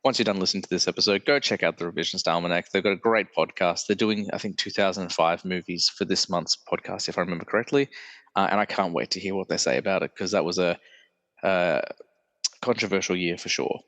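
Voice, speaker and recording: Nasal-sounding man with a low voice. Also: fast talker, a bit bright, in a large hall. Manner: rambling, self-correcting. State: congested from illness. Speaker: podcast host. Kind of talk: casual solo podcast chat.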